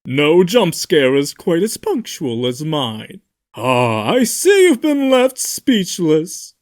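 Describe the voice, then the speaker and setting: A man's voice: on the high side, wildly swooping pitch, takes it slowly, heavily acted. An actor voicing a vain, bloodthirsty showman robot in a video game, with grandiose announcer flourishes. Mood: gleeful, grandiose. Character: hammy theatrical showman animatronic, vain and gleefully bloodthirsty. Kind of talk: video game character voice lines.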